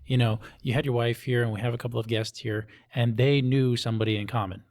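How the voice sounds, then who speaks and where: A man's voice: medium pitch, steady tone, fast, darkish tone. A man from Hawaii speaking conversationally as an interview guest, explaining things and often checking in with short tag questions. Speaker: police officer interviewee.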